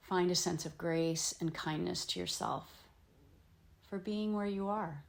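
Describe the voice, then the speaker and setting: A woman teaching yoga nidra, leading a guided meditation in gentle, soothing phrases with frequent pauses. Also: on the low side, gravelly. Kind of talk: guided meditation, slow instruction with long pauses.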